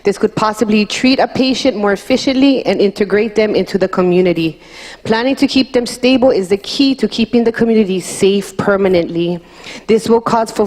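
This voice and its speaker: A woman reading a short student pitch from notes into a handheld mic. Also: darkish tone. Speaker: student presenter. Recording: long hall reverb.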